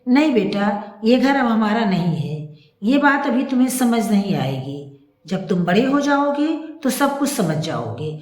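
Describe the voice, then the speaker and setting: Woman acting wronged young wife, grave, resolute, earnest, lively intonation, storybook drama, echoey room. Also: fairly high pitch, a little slow. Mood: gently serious.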